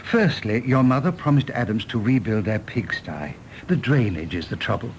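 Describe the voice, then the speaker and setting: A man with an English accent playing a courteous, practical estate manager in a radio drama, deferential and measured. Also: fairly low pitch, expressive, a little hiss or hum. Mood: reflective, practical. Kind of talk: stage-play radio drama acting.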